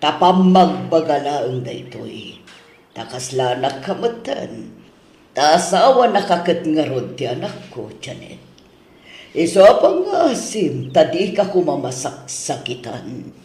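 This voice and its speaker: A man playing a fussy, nagging older mother-in-law in an Ilocano radio drama, with an Ilocano accent and drawn-out, chiding delivery. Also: a little high, very animated, a little mellow, exaggerated voice. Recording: roomy, echoing studio drama sound.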